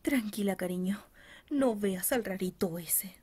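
A woman voicing a gentle teenage boy, sighing, doting and mildly exasperated. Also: medium pitch, unhurried, husky, dry recording, voice pushed down.

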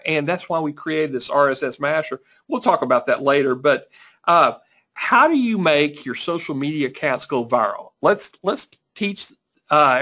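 Male webinar host, higher voice, eager, muffled call line. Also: pushed and bright. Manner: upbeat, repeats and restarts. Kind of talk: webinar presentation. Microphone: video call. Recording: dull lo-fi call audio.

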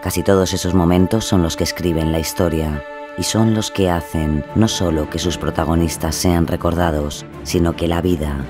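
An actor playing a wry medieval narrator in an audio drama. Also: male voice, low-pitched, fairly even intonation, moderate pace, lightly acted. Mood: solemn. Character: wry medieval narrator who needles his companions. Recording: music behind the voice.

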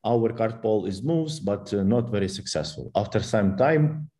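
Low, mellow-voiced man explaining code. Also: expressive, normal speed. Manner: explanatory, with frequent fillers and non-native phrasing. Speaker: course instructor. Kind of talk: screen-share code walkthrough.

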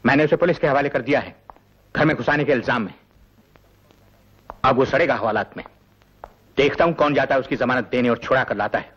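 An actor playing a stern, commanding, impatient grandfather in Hindi film melodrama, with a husky voice. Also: mid-range voice, a little fast, long pauses, fairly bright tone. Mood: angry, commanding. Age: older. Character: stern, hot-tempered grandfather guarding a family secret. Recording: dull lo-fi film soundtrack, faint music.

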